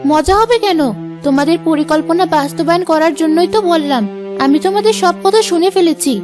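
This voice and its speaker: Woman voicing a very high, childish gluttonous wife. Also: a little fast, music in the background. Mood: defiant. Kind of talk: Bengali cartoon dialogue.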